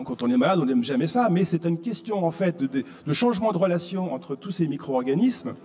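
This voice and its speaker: A man's voice: on the high side, dark tone. A man from France, giving a didactic conference lecture that he paces with rhetorical questions. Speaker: conference speaker. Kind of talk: explanatory public lecture. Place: reverberant conference hall. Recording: long hall reverb.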